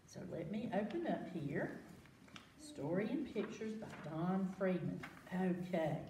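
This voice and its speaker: A woman leads a children's storytime with very lively, swooping pitch. She takes her time, repeating words and putting questions to her young listeners. She is recorded at a distance in an echoey room with some noise. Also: a little slow. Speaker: library storytime host. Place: echoing room with children present. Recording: roomy, distant mic with background noise.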